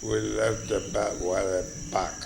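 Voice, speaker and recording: Slow elderly man. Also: fairly low pitch, little pitch movement, vocal fry. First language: Spanish. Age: 80s. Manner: rambling, halting with fillers and chuckles. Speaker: interviewee. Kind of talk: slow storytelling reminiscence. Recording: noisy with crickets.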